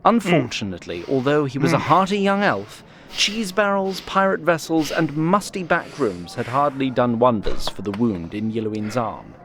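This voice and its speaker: A man's voice: mid-range voice, expressive, normal speed, a bit bright, faint music behind. A man narrating a scripted fantasy story in a literary, wry manner.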